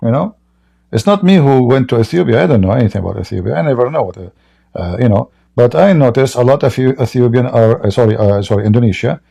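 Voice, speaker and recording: A man's voice: mid-range voice, lively intonation, fast, gravelly, warm tone. A man hosting a live online debate stream, answering a caller in a direct, emphatic way. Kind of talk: live-stream call-in reply.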